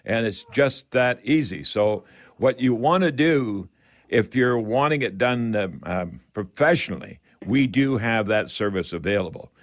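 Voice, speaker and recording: A man hosting a radio call-in show, giving home improvement advice in a halting, folksy, rambling way. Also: fairly low pitch, lively intonation. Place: radio studio.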